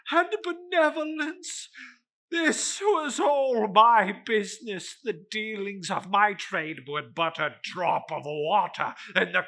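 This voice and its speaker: A man's voice: very high voice, vocal fry, bright, forceful tone, pitched above the performer's own voice, heavily acted. A man voicing the tormented ghost of a dead businessman in a dramatic storybook reading, wailing and drawing out his solemn warnings. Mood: anguished. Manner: wailing, drawn-out, ominous declamation.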